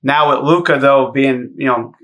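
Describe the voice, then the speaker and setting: Man in his thirties, podcast co-host, sharp, bright voice, blunt, sweary rants. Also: medium pitch, moderate pace. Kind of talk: profane sports podcast banter.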